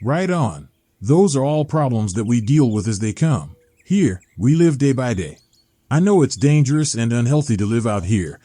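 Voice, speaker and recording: A man's voice: medium pitch, mellow, dry recording. A man playing an agitated, embittered homeless middle-aged ex-teacher, blunt, folksy and profane, in a dramatized comic. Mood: resigned, kindly.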